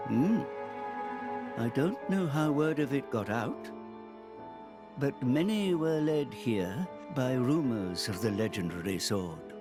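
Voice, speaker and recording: An actor playing a solemn, wise old village guardian in a video game cutscene, with a husky voice. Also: male voice, medium pitch, animated, takes it slowly, over music. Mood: grave.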